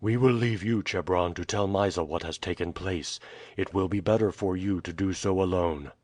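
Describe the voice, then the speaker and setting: Steady, loyal older guardian, measured and calm; a man reads him. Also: sits low, no room sound. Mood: gentle. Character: prudent, loyal grown male servant and guardian.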